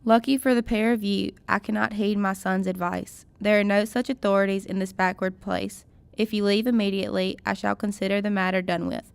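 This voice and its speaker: A woman's voice: medium pitch, flat delivery, brisk, creaky, hardly any pauses, clean tone, dry recording, natural voice. A woman playing a proud, stern mother in a radio drama, speaking in a formal, clipped and haughty way. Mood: stern, dismissive.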